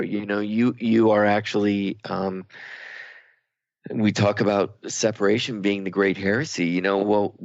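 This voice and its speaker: Male co-host, low-pitched and earnest, conversational and reflective, on dry, slightly muffled radio audio. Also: creaky, no echo.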